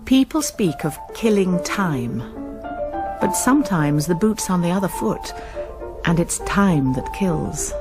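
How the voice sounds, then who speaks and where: A woman playing a wry college nurse who narrates a radio drama in measured tones, with an English accent and a husky voice. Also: fairly low pitch, lively intonation, over music. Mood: reflective, ominous. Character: wry, reflective female college nurse recalling events.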